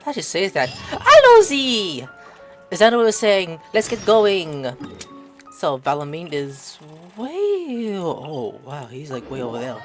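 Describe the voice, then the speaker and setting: A gameplay streamer throws out crude, joking reactions in a very animated, loud manner, with game music underneath. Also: medium pitch, slow. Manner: joking, loud interjections, profane.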